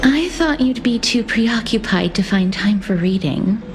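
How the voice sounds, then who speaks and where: A woman's voice: medium pitch, expressive, a little slow. Polite, reserved young woman. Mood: polite, understanding. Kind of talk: video game voice acting. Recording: music behind the voice.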